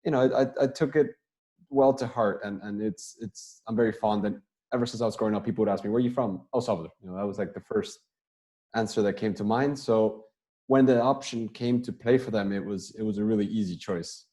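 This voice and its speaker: Relaxed low-voiced man on a video call. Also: a little fast. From United States. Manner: relaxed, rambling answers with many repeated words. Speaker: guest soccer player. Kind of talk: casual interview over video call.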